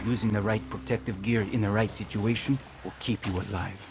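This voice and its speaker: Low, bitter guerrilla fighter. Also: male voice, steady tone, fairly quick, gravelly, over music. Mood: curt orders. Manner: curt, profane, resentful. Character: bitter, hostile male guerrilla fighter, gruff and impatient. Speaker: video game character. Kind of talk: video game voice acting.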